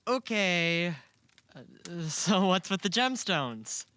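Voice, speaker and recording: A man's voice: sits high, sing-song, slow, sharp tone, exaggerated voice. Man acting as a curious, skeptical young newcomer. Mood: skeptical, probing. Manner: inquisitive, casual. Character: Simon, curious young male newcomer to magic, skeptical, eager questioner. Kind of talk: amateur audio drama acting.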